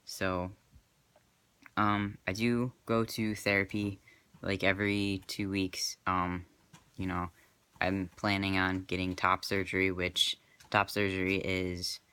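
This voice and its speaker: Man, 20s, casual vlog; low, flat, crisp delivery, hesitant with lots of fillers. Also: takes it slowly. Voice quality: slightly crackly. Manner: soft-spoken, hesitant, many fillers. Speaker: vlogger.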